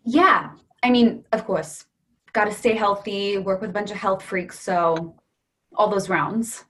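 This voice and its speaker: An actress playing a guarded, polite nurse on a double date in a play reading, placating in manner. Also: female voice, mid-range voice, a little slow, a bit bright, husky.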